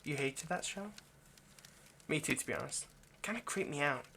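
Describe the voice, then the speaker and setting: A bright, forward voice over clear background noise. It is a man acting as an ancient male vampire, anxious and lovesick, in an intimate audio roleplay. He coaxes and rambles haltingly, speaking straight to his captive. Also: a little high, expressive, exaggerated voice. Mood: wry, indignant. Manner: hesitant, halting, rambling, coaxing. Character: anxious, lovesick seven-hundred-year-old male vampire kidnapper. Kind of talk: intimate ASMR roleplay monologue addressed to the listener.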